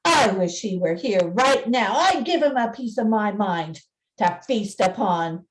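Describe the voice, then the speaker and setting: A woman's voice: medium pitch, lively intonation, measured pace, echoey room, recorded from a distance, pitched below the performer's own voice, close to an everyday voice. A woman acting a poor parent at a festive family table in a radio play. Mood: bitter. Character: Cratchit household parents, poor, grudging at festive toast.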